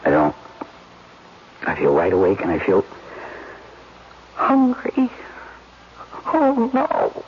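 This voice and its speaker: A woman's voice: very deep voice, wildly swooping pitch, slow pace, pauses often, heavily acted. A woman playing a despairing, self-mocking actress who talks to herself in a 1970s radio drama. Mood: weary brooding. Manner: soliloquizing, emotional, self-deprecating. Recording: muffled 1970s radio recording, faint noise.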